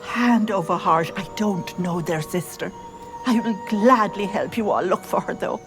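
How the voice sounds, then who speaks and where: A woman's voice: mid-range voice, a little slow, over music, lightly acted. A woman playing a wily old hag in a video game, British-accented, wheedling with false grandmotherly sweetness and scorn. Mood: falsely pleading. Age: older. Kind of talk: video game character acting.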